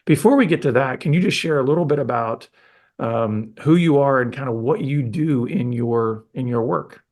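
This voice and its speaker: A man's voice: mid-range voice, moderate pace, a little mellow. A man hosting a podcast, chatting with his guest in an enthusiastic, rambling way with frequent restarts. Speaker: podcast host. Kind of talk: casual interview chat.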